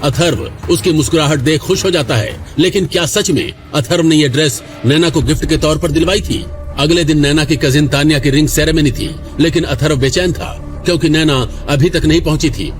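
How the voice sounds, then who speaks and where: A man's voice: a little high, normal speed, slight background noise. A man narrating a scripted audio drama in a dramatic storytelling style.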